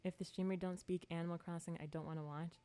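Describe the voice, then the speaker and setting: A woman's voice: fairly low pitch, steady tone, fast talker, warm tone. A woman chatting casually on a livestream while gaming, with a playful, giggly, self-deprecating manner. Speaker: video game livestreamer.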